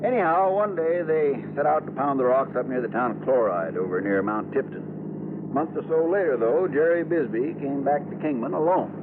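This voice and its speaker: A man's voice: mid-range voice, expressive, normal speed, natural voice. Actor as folksy Western radio-drama man, muffled noisy recording. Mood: folksy, matter-of-fact. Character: folksy talkative small-town Western insurance man named Jake. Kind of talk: 1950s American radio drama acting. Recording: muffled narrowband old radio, loud noise.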